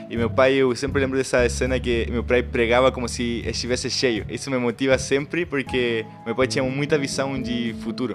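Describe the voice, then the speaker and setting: A young man raised in Chile, speaking as a guest on a podcast, telling his story in a reflective, halting way. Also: mid-range voice. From Brazil-born, raised in Chile. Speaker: guest, missionary and designer. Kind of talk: casual podcast interview.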